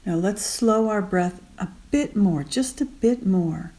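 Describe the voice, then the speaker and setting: A woman guiding a meditation gently and unhurriedly in short spaced phrases, with soft, breathy endings. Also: medium pitch, expressive, takes it slowly. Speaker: meditation guide. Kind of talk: guided meditation.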